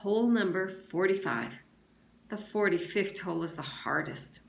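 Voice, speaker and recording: A woman's voice: medium pitch, expressive, moderate pace, creaky. Woman reading as a defensive teenage boy, echoey. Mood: resolute. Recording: echoey room sound.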